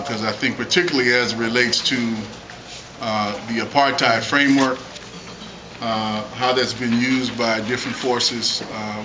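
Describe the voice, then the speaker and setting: A man opens a panel discussion in a meeting room, stumbling through his points with frequent fillers. His voice sits at an average pitch. The recording is dull and carries steady background noise. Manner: halting, many filler "uh"s. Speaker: panel moderator. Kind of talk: casual discussion opening.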